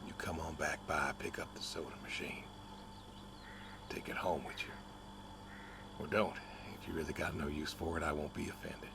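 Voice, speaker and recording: Casting: middle-aged farmer for a narrative game, very low pitch, folksy and understated, grieving stubbornly; noisy ambient recording. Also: male voice, level tone, normal speed, gravelly, pauses often. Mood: gentle, proud. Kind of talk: naturalistic dramatic game dialogue.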